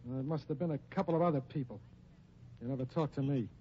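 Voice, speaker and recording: An actor playing a tough, indignant gangster in a 1950s radio drama, talking in blunt, defensive, ungrammatical slang. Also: male voice, medium pitch, fast talker, close to an everyday voice. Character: tough, indignant male gangster nightclub owner. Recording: muffled, noisy old radio recording.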